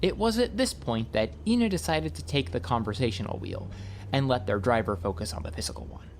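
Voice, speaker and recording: A man narrating a scripted audiobook story in a wry, expressive storytelling manner. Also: medium pitch, fairly quick, a little hiss or hum.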